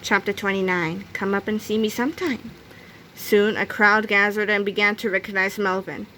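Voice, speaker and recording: A woman reading a children's book aloud in an expressive storytelling style. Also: mid-range voice, normal speed, a little hiss or hum, low-quality recording. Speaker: storybook reader.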